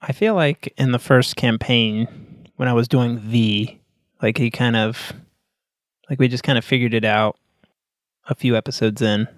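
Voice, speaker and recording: A man's voice: mid-range voice, steady tone, a little slow, long pauses, no room sound, clean studio sound. A man playing in a tabletop game podcast, chatting casually and joking with his friends. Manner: chatty, jokey, laughs often. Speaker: player. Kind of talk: casual chat.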